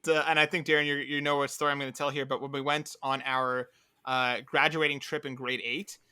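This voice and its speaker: A man's voice: mid-range voice, level tone, fairly quick, pushed and bright, clean tone, no room sound, clean studio sound. A man hosting a casual gaming podcast, chatting in a conversational, opinionated way. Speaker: podcast host.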